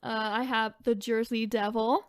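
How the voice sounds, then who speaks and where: A woman's voice: fairly high pitch, flat delivery, moderate pace, no room sound, studio quality. A woman co-hosting a podcast in casual chat, informal and chatty, sometimes reading out descriptions with asides. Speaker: podcast co-host.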